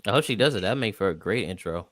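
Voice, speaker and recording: A man's voice: fairly low pitch, lively intonation, fast, a bit bright. A man chatting casually as a guest on a livestream panel. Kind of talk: casual chat.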